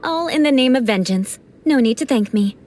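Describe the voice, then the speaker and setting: A woman's voice: sits high, animated, normal speed, over loud music. A woman voicing a proud, formal young noblewoman knight who mentors with dry, teasing remarks in a video game. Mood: cool. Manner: formal, haughty, dryly teasing. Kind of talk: game cutscene voice acting.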